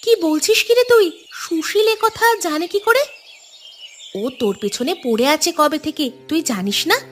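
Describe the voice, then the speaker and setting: A woman voicing an outspoken, loyal young village girl in a cartoon, quick to question and retort. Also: high voice, expressive. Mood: urgent. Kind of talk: cartoon voice acting. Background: background music.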